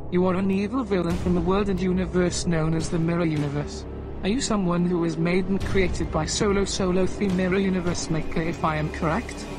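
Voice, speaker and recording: A man voicing a polite, apologetic young man who is quick to get indignant, in an amateur voice drama, with music behind. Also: high voice, steady tone, lightly acted. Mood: startled. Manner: earnest, apologetic, later indignant.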